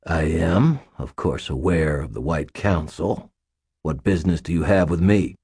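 A man's voice: very deep voice, animated, unhurried, darkish tone, husky, no echo, studio quality, full character voice. A man voicing a cold, guarded sorcerer. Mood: wary.

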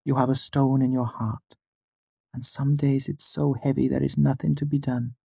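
A man voicing a small, shy young woman who speaks softly and tenderly to comfort someone. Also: mid-range voice, flat delivery, normal speed, creaky, breathy edge, no room sound, studio quality, voice pushed up. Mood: soothing. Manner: soft, quiet, tender.

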